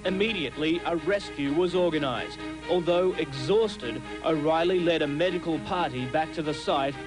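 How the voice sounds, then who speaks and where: An Australian man delivers scripted reporter's narration for a TV news documentary. His voice is fairly high for a man, and music plays clearly behind it. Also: low-quality recording.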